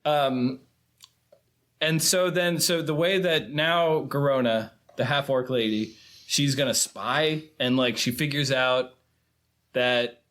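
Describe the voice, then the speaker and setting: A man's voice: mid-range voice, takes it slowly, a bit bright. Man hosting a casual film chat. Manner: informal, steering the recap. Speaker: podcast host.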